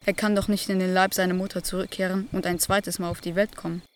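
A man acting as a respected religious elder who is puzzled and polite, in a biblical audio drama. His intonation stays quite flat as he speaks in a questioning, deferential manner. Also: high-pitched, quick pace, smooth, lightly acted. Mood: respectful, puzzled. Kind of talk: dramatized scripture audio play.

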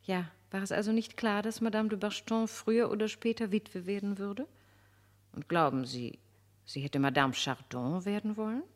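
An actress playing an aristocratic society lady in a German radio drama, flattering, amused and calculating, with theatrical, coquettish emphasis. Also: medium pitch, normal speed, close to an everyday voice. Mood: haughty, coquettish.

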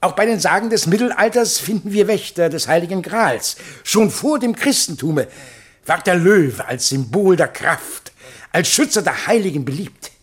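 An actor playing a pompous, long-winded scholar who lectures in florid style in a radio play, with a husky voice. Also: male voice, high-pitched, lively intonation, moderate pace. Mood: grandiose, solemn. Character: pompous, long-winded male scholar showing off paintings to a prince. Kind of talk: German radio play acting.

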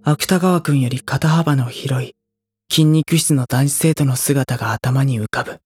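An actor playing a guarded, skeptical high school boy in a Japanese audio drama, polite in wording but blunt. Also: unhurried, a little mellow, slightly rough, no room sound, soft background music. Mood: brooding. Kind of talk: Japanese audio drama dialogue and inner narration.

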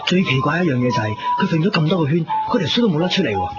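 A man's voice: a little high, expressive, racing, lightly acted. An actor playing a reflective, squeamish young man living alone in a radio drama, speaking Cantonese with a Hong Kong accent. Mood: chatty, squeamish. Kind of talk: 1990s radio drama, intimate narration and dialogue. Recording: muffled 1990s radio recording, music behind.

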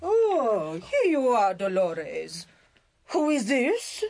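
A woman's voice: fairly high pitch, wildly swooping pitch, drawn-out words, a little mellow, exaggerated voice. An actress playing a suspicious, imperious married lady of the house in a radio comedy drama. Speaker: actor.

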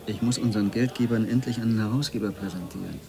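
A pushy, reproachful promoter in a radio drama. His voice is fairly low, with clear crowd chatter behind it. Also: fast. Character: pressuring, reproachful male magazine promoter chasing an editor. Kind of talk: German radio drama acting.

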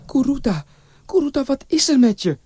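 A high-pitched, trusting village wife played by a male storyteller. She speaks earnestly and questioningly, as a worried mother in a storybook tale, with music clearly behind her. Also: very animated, normal speed, darkish tone. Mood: concerned, curious. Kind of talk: storybook character voice.

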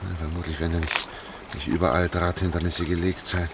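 An actor in a German radio play playing a sober, methodical older man, speaking in a hushed, deliberate way. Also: sits low, little pitch movement. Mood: tense, controlled. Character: sober, methodical older man guiding a girl through sewers. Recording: noisy track with trickling water. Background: running, dripping water.

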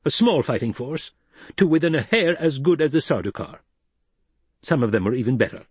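A man's voice: medium pitch, animated, brisk, slightly rough, no echo, voice pushed up. A man voicing a shrewd, bony old adviser in an audiobook, incisive, probing and contemptuous. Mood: stern, insistent. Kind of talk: dramatized audiobook reading.

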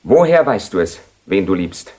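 An actor playing a restless, brooding young nobleman and doubting bridegroom in a theatrical radio drama, with a husky voice. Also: low voice, big pitch swings, moderate pace, a little mellow. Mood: urgent.

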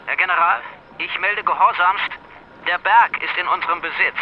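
An actor playing an obedient army officer in a German radio drama, reporting in a clipped, formal, deferential way. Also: male voice, sits high, normal speed, dull audio, slight background noise. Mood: dutiful, nervous.

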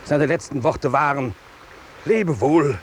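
An actor playing a zealous army captain, stiff, pompous and officious, in a 1950s radio play. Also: male voice, fairly high pitch, slow pace. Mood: pompous indignation. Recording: noisy 1950s radio drama recording.

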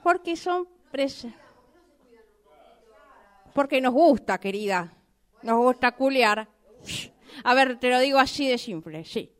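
A woman's voice: sits high, animated, slow pace, long pauses. A woman with an Argentine accent voicing a chatty, sentimental mother cockroach in improvised comic radio theatre, repeating herself coyly. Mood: earnest, confiding. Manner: repetitive, coy, hesitant, conversational asides.

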